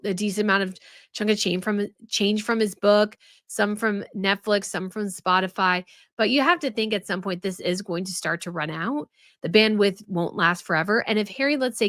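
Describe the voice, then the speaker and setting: A woman's voice: mid-range voice, a bit bright, no echo, clean studio sound. A young woman hosting a casual live stream, chatting and answering viewer comments with many fillers and restarts. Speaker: host of a royal news live stream.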